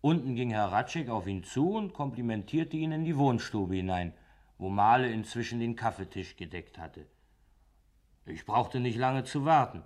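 A man's voice: medium pitch, animated, normal speed, darkish tone, natural voice. An actor playing a simple farmhand and coachman, speaking deferentially and colloquially in a German radio play. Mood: steady recounting. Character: plain, obedient farmhand and coachman telling his account.

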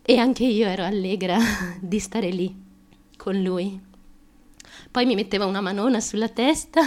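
A woman's voice: medium pitch, darkish tone, close to an everyday voice. An actress performing a theatrical monologue as a fragile, anxious, childlike young woman, stammering and repeating words as she confides feverishly. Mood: tender, nostalgic. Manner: halting, stammering, repeats words, nervous giggles. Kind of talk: theatrical stream-of-consciousness monologue.

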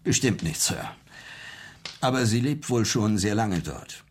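Ein Schauspieler spielt im deutschen Hörspiel einen höflichen, nachdenklichen Farmer, der früher Militärseelsorger war und seinen Glauben verloren hat. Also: male voice, on the low side, animated, unhurried, a little hiss or hum. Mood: reserved, helpful. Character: farmer, former army chaplain who lost his faith. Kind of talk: German radio drama acting.

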